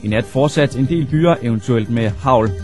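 A young man presenting live on the radio as host, in a small studio on a boom microphone. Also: a little mellow. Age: young adult. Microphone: studio microphone on boom arm. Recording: music behind, old videotape aircheck. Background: music.

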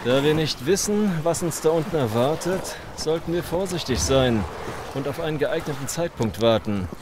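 A man's voice: a little high, expressive, moderate pace, noisy background, close to an everyday voice. A man acting a smug villain boss, swooping delivery. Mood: smug, commanding. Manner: mock-polite, theatrical menace. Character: ruthless gang boss, male, smug, menacingly courteous. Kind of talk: German audio drama acting.

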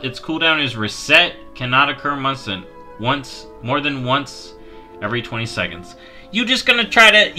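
A man in his thirties talks into a headset mic, bright and forceful in tone. He reads game text aloud and jokes about it with playful mock outrage. Faint music plays underneath. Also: medium pitch. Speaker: streamer. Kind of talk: reading and reacting to game talents on a live stream. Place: small dark room at home.

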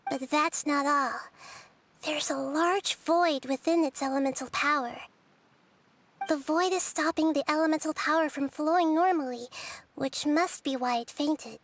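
A woman voicing a small, childlike, gentle and wise nature goddess in a video game, explaining things in a measured, soft, girlish voice. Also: high-pitched. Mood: intrigued.

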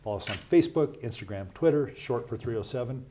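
A man's voice: mid-range voice, lively intonation, warm tone. A man in his fifties from Wyoming, a Senate candidate answering interview questions in a small TV studio, earnest and emphatic, often tagging "right?" onto his points. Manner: earnest, measured, emphatic with rhetorical "right?" asides. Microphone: lapel.

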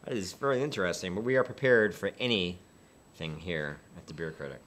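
A man in his thirties chats casually at a tasting table, thinking out loud with plenty of fillers and restarts. His pitch rises and falls a lot as he talks, and he sounds easygoing and unhurried. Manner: relaxed, musing, many fillers and restarts. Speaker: beer reviewer co-host. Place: small indoor studio set with dark curtain.

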